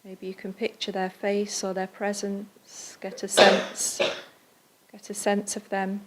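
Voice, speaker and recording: A woman guides a meditation in a medium-pitched voice. Her delivery is gentle and very level, with little melodic movement. She lays out short phrases and leaves pauses between them. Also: monotone, noisy background. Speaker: meditation leader. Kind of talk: guided Buddhist loving-kindness meditation.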